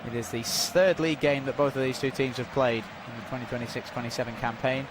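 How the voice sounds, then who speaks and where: Male football commentator, measured delivery, mid pitch, clear outdoor background noise. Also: steady tone. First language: not Dutch. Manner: measured, informative, filling time with stats and updates. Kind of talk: live sports commentary during a stoppage.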